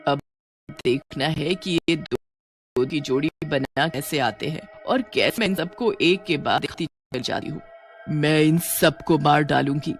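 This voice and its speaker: A woman's voice: deep voice, a little slow, soft background music. A woman voicing a gloating, menacing witch who preys on children and savours it, in a horror storybook. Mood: sinister gloating. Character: gloating child-eating witch posing as a kindly teacher.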